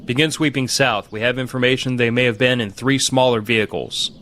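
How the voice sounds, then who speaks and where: A man's voice: medium pitch, steady tone, fairly quick, fairly bright tone, natural voice. A man playing an authoritative army major giving clipped orders in an audio drama, over faint ambient noise. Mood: commanding. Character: commanding army major directing a search.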